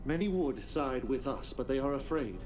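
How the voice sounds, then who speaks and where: A man playing a charismatic, menacing cult leader in a video game cutscene, speaking in deliberate, commanding oratory with theatrical pauses. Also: medium pitch, animated, a little mellow, recorded from a distance, slight background noise, lightly acted. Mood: measured, persuasive.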